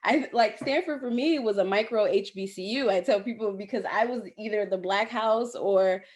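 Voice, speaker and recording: A woman's voice: mid-range voice, moderate pace, a little mellow. A woman speaking animatedly as an alumni panelist on a video call, laughing between points. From southern United States. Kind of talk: candid panel answer.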